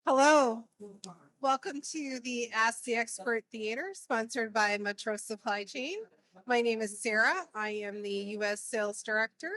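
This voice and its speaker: A woman's voice: on the high side, measured pace, fairly bright tone, dry recording, rough recording. A woman, a sales director, giving a formal, scripted welcome that introduces a session at an event.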